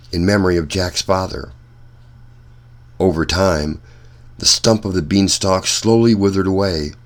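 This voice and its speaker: Very deep, slow male storyteller. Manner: deliberate, measured phrasing. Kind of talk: children's read-aloud narration.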